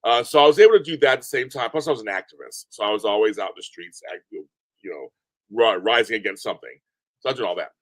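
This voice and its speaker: Deep-voiced man, dry sound. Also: fast talker, no echo. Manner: rambling, repeats himself, animated. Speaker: podcast guest. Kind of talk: casual interview chat. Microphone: remote call from home.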